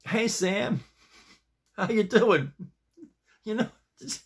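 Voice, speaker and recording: A man's voice: sits high, animated, slow pace, husky, pitched above the performer's own voice, full character voice. A man voicing a boastful, chummy male neighbor in a hearty, bragging way, as part of a casual anecdote.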